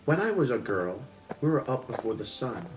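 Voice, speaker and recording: A man's voice: medium pitch, very animated, normal speed, natural voice, low-quality recording. Man reading as a scolding, impatient colonial mother. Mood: exasperated. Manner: sharp, bossy, exasperated. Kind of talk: storybook read-aloud.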